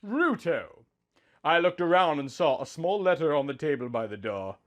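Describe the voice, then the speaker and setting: A man reading a romantic story aloud in a dramatic, drawn-out, theatrical manner. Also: fairly high pitch, very animated. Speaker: narrator reading a fan story. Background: background music.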